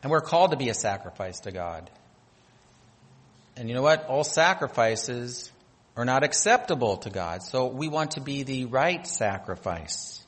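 A man's voice: mid-range voice, very animated, moderate pace, fairly bright tone. A man from North Dakota delivers a sermon in a church hall, with a long echo trailing his words. He reads scripture aloud and swells in emphasis on key phrases. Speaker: pastor.

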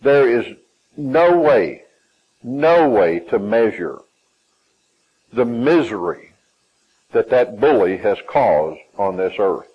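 Husky Southern preacher delivering a sermon. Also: male voice, sing-song, takes it slowly, long pauses, dull audio. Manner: emphatic preaching with rising and falling pitch and stretched phrases.

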